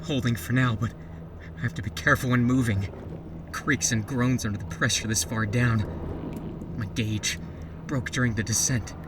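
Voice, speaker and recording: A man's voice: moderate pace, long pauses, close to an everyday voice. An actor playing a man trapped in a diving suit on the sea floor, talking himself through his fear. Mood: frightened. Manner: breathless, talking to himself, rising into screams. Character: male deep-sea diver trapped, panicking. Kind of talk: amateur radio play acting. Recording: distant mic with steady underwater rumble.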